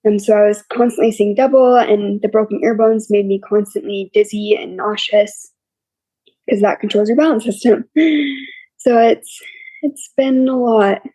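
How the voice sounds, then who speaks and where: A young woman with a scratchy, quiet voice, openly telling her story as an interview guest in a plain, matter-of-fact way. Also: medium pitch, steady tone, normal speed, dry recording. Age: young adult. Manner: open and matter-of-fact, listing hardships plainly. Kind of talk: candid personal storytelling.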